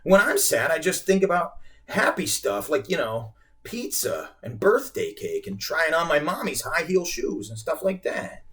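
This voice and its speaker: A man doing a sassy, high little girl. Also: sing-song, unhurried, few pauses, fairly bright tone, distant mic. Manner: sassy, scoffing. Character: bossy, mocking little girl.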